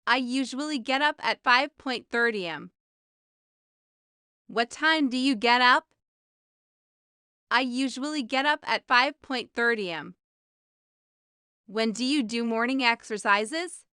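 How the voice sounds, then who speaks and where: A woman reading a scripted beginner language lesson of short questions and answers, with careful, even enunciation. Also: a little high, slow, long pauses, clear, resonant tone, dry recording, clean studio sound. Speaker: English language teacher.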